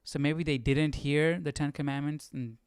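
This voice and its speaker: Casting: male study-stream host, smooth clear voice, close dry sound, informal and questioning. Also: fairly high pitch, steady tone, moderate pace, no echo. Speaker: Bible study livestream host. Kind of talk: casual thinking aloud, reading scripture.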